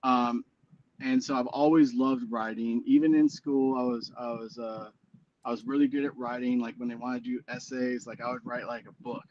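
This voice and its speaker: Man, forties, hesitant rambling talk, middle pitch, dull lo-fi sound. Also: little pitch movement, normal speed, slight background noise. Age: 40s. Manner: rambling, hesitant with many fillers and restarts. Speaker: guest musician. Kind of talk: casual interview chat.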